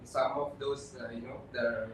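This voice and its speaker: A man from Sri Lanka working as an election observer, talking casually on a panel with many pauses and fillers. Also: mid-range voice, flat delivery, slight background noise. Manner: halting, with many fillers and restarts. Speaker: election observer, program officer. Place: indoor room, shared table with other guests. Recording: echoey room, distant mic.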